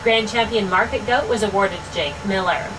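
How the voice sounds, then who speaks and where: A woman's voice: mid-range voice, normal speed. Young woman reading a fair update. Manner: steady, upbeat reading of results and schedules. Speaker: radio station fair update host. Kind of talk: scripted news update reading. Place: studio with dark backdrop. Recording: distant mic with noticeable hiss.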